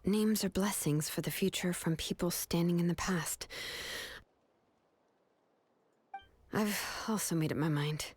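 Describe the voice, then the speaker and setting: A woman voicing a composed, principled young researcher in scripted game dialogue, speaking in a measured, articulate, firm way. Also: fairly low pitch, a little mellow, breathy edge. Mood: resolute.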